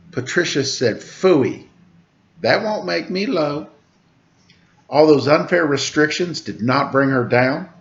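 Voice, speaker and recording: A man reading a rhyming children's picture book aloud in a sing-song storytime manner, giving the quoted lines a lively lift. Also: mid-range voice, highs cut off.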